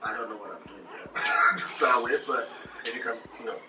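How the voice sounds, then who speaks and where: A man's voice: medium pitch, normal speed. A man, the show's host, telling a story casually and animatedly, often repeating words as he goes. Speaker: show host. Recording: roomy and distant with music behind.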